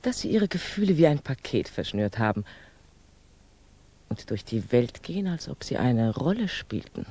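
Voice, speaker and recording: An actress playing a composed, candid older female revolutionary in a 1960s German radio drama, measured, admonishing and probing. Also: extremely low pitch, big pitch swings, mellow, slightly rough, full character voice.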